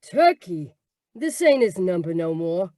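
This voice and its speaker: A woman's voice: on the low side, very animated, a little slow, dark tone, no echo, clean studio sound. An actress playing a brassy, blunt, slangy woman in a radio mystery, offhand and given to hollering. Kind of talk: radio comedy-mystery acting. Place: live remote performance over video call.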